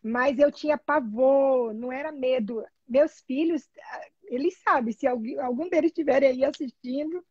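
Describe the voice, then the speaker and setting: Brazilian woman, middle-aged, high husky voice, casual storytelling, animated, video call. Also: moderate pace, dry recording. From Salvador, Brazil. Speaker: guest, therapy trainee telling her experience. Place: small room at home, indoors. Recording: dull, lo-fi video call audio.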